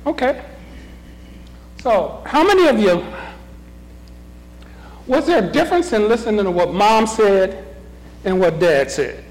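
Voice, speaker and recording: A man's voice: sits high, expressive, measured pace, pauses often, darkish tone. A middle-aged man leading a group Bible discussion, asking questions, restarting his sentences and often chuckling. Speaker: Bible study leader. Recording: reverberant meeting room.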